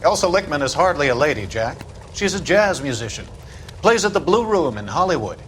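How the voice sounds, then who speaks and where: An actor playing a smug, slippery company boss in a video game, patronising and falsely chummy. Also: male voice, fairly high pitch, sing-song, fairly quick, fairly bright tone, slight background noise, poor audio. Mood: breezy, smug. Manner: patronising, breezy, turning curt. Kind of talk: cinematic video game dialogue.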